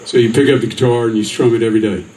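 A man's voice: mid-range voice, steady tone, darkish tone. Teasing male emcee on an outdoor stage. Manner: brisk, teasing questions. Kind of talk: onstage interview. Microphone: stand mic. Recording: distant mic with faint outdoor noise.

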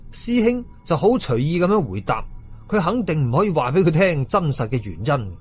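A man's voice: fairly high pitch, normal speed, slightly rough, faint music behind. A man with a Hong Kong Cantonese accent telling a horror story in a colloquial, dramatic first-person narration. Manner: animated, colloquial.

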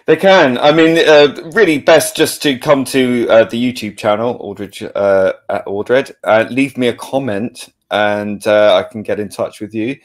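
A man with a medium-pitched voice appears as a podcast guest. He talks casually over a video call. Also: a little slow, hardly any pauses. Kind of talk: casual chat.

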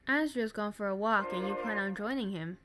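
A woman voicing a calm, protective narrator for a child in a comic dub, measured and matter-of-fact. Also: mid-range voice, over music, natural voice.

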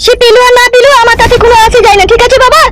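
Snooty rude young cartoon wife, squeaky high and super fast, defiant, noisy recording. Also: female voice, little pitch movement. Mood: defiant, scornful. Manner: snappish, rude. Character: haughty, defiant young daughter-in-law from a proud family. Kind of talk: comedy cartoon dialogue. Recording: loud noise behind.

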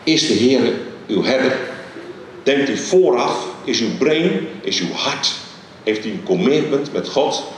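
Middle-aged male preacher, emphatic and pausing, handheld mic in a big reverberant church hall. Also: medium pitch, distant mic, slight background noise. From Netherlands. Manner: animated, emphatic preaching with many pauses. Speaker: guest preacher. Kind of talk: sermon. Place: large dark church hall stage. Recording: very echoey large hall.

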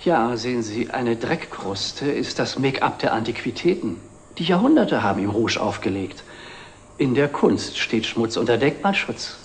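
An actor playing a dry, witty middle-aged antiques dealer in a 1960s TV drama, with polished sales patter. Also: male voice, mid-range voice, sing-song, normal speed. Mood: wry, amused. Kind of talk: 1960s German TV drama acting. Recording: old TV film, faint noise.

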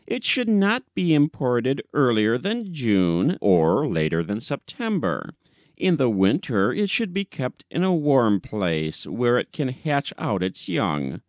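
A man's voice: mid-range voice, wildly swooping pitch, slow, dry recording, clean studio sound. A man narrating a classic humorous short story as an audiobook reader, in a scripted storytelling style.

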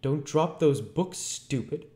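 Man acting an invisible bully, irritable, threatening, sharp commands, raised voice pitch, storybook villain, echoey room. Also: expressive, moderate pace, close to an everyday voice. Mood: sharp, commanding. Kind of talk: audiobook character voice.

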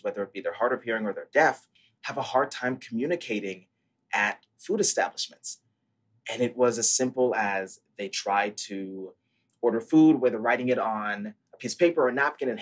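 Husky young man telling his story. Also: mid-range voice, rough recording. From Brazil. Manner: earnest, articulate, reflective. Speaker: startup founder, guest. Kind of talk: interview storytelling. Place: living room, at home. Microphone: earphone headset mic on video call.

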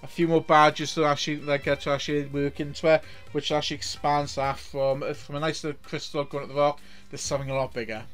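A man's voice: a little high, level tone, fast talker, fairly bright tone. A middle-aged Welsh man streaming a game from home, chatting casually in a rambling way with constant restarts. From Wales. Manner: rambling, many restarts and repeated words. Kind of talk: casual live-stream commentary. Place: home room.

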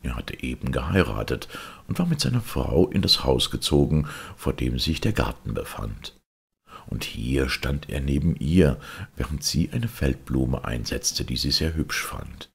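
A man narrating a fairy tale expressively, with a gravelly, husky voice. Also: very deep voice, big pitch swings, measured pace. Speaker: audiobook narrator. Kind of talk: expressive scripted storytelling.

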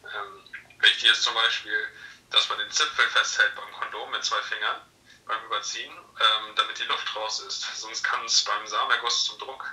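A man giving customer advice over the phone as a shop salesman, polite and matter-of-fact but hesitant, heard through a loudspeaker. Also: lowish voice, flat delivery, moderate pace. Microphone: phone loudspeaker picked up in room. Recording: echoey phone on speaker, distant.

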